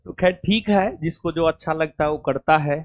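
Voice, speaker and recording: A man's voice: on the high side, moderate pace, muffled recording. A man talking informally to his students in a coaching classroom, rambling and often repeating himself. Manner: chatty, rambling, repeats "theek hai". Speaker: coaching teacher.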